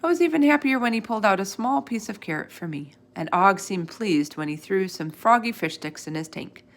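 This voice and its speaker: A woman reading a children's storybook aloud in an expressive, animated way, acting out the dialogue. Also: medium pitch, a little fast. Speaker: storybook reader.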